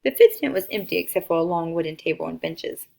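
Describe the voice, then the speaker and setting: A woman reading a children's picture book aloud as an expressive storyteller. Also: on the low side, fast talker, vocal fry.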